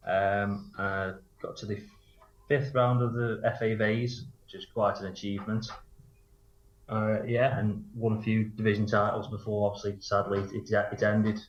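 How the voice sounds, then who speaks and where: A man gives casual, matter-of-fact interview answers as a coach, feeling his way through phrases. His voice is fairly low, and the sound is clearly roomy and slightly dull. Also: level tone, moderate pace. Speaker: football coach, interview guest.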